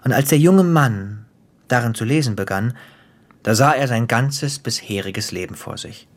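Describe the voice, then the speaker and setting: A man acting in a German radio play as a cruel, gleeful crime boss, theatrical and taunting, with a husky voice that drops into whispers. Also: medium pitch, expressive, normal speed, natural voice. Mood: relishing, theatrical. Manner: theatrical, taunting, savoring storyteller.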